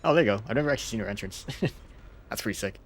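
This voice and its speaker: A man's voice: fairly low pitch, expressive, rapid-fire, a little hiss or hum. A man doing casual, chatty live gameplay commentary as a streamer, with game music behind him. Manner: chatty, laughs often, casual swearing. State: feeling unwell. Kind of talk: casual live gameplay commentary, reading story summaries aloud.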